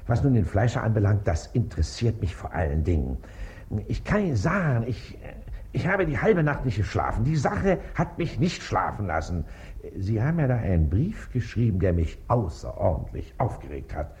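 An actor playing a pompous, self-important baron and district official in a radio comedy, officious and condescending. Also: male voice, lowish voice, very animated, moderate pace, a little mellow. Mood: zealous, indignant. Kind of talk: stage comedy acting in radio play.

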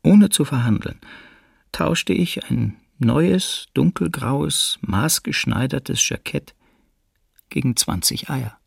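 Man in hiding, very gravelly, husky, wary, subdued, measured first-person radio drama narration. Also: mid-range voice, expressive, no echo, studio quality, exaggerated voice. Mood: sober, subdued.

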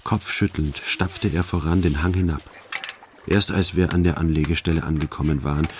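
An actor playing a sober, detached army sergeant, narrating a radio drama in a measured, matter-of-fact way. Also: male voice, rumbling low, fairly even intonation, background noise.

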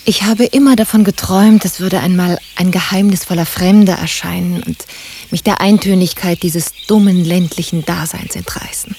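Woman acting a low-voiced, dreamy provincial wife. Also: fairly even intonation, moderate pace, a little mellow, slight background noise, close to an everyday voice. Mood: yearning. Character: bored, dreamy, romantic French provincial wife. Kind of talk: radio drama acting.

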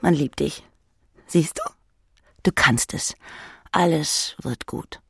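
Creaky, smooth-voiced woman narrator. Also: low-pitched, takes it slowly, dry recording, studio quality. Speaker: audiobook narrator. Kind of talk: literary second-person narration, present tense.

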